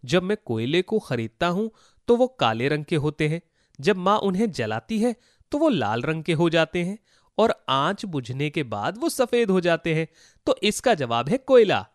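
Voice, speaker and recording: A man's voice: fairly high pitch, sing-song, moderate pace, dry recording, studio quality. A man voicing a kind, brave boy in a children's story, speaking earnestly and with animation. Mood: eager. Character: kind, brave poor boy, eager to help. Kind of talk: Hindi children's moral story voice acting.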